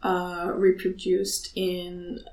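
A young woman talking candidly and passionately about her activism, halting and restarting as she speaks. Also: fairly low pitch, completely flat delivery, a little mellow. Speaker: student activist. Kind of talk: candid conversation. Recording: echoey room.

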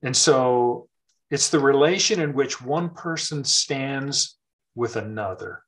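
A middle-aged man with a mid-range voice talks through scripture in a relaxed conversation. He is thoughtful and circles back on himself, with plenty of fillers and restarts. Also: mid-range voice, slow. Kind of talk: casual faith conversation.

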